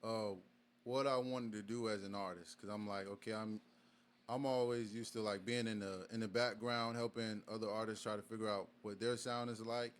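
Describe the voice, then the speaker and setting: A man's voice: lowish voice, steady tone, moderate pace, a little hiss or hum. A young man from Virginia, a guest artist in a casual podcast interview, speaking in a laid-back way with many fillers and restarts. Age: young adult.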